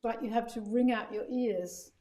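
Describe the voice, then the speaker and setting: Distant mellow-voiced woman guest. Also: a little high, normal speed. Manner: explanatory, thoughtful. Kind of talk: interview answers.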